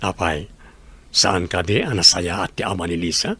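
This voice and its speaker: A man's voice: low-pitched, moderate pace, bright, forceful tone, breathy edge, exaggerated voice. A man playing a kind, obliging family friend with a friendly manner in an audio drama. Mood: friendly, offering.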